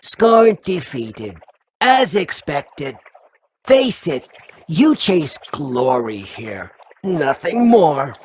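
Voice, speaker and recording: Scornful game worm monster, super slow clipped taunts, voice swoops around. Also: female voice, sits low, very animated, a little mellow, a little hiss or hum, full character voice. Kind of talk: video game voice acting.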